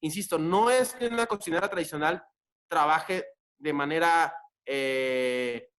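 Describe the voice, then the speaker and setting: A man's voice: fairly high pitch, a little slow, a bit bright. A man with a Mexican accent, a chef arguing insistently and restarting his sentences as he pushes back. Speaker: chef and debate guest. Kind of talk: casual debate.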